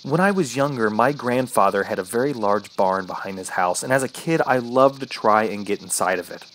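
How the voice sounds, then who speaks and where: An actor playing an injured, thirsty trapped man, narrating his ordeal in a brooding, halting, dramatic way for a horror radio play. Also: lowish voice, fairly bright tone, a little hiss or hum, natural voice, low-quality recording. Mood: haunted.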